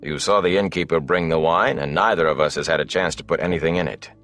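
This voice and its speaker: A calm, stern warrior of a fantasy storybook, voiced by a male reader, talks with patient formality. Faint music runs behind him. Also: extremely low pitch, fast talker. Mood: patient, cool.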